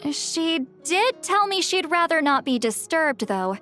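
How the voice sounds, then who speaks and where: A proud, guarded ex-commander speaks in a high, lively voice. She is wry and easily flustered, and faint game music plays under her lines. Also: unhurried, heavily acted. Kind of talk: video game voice acting.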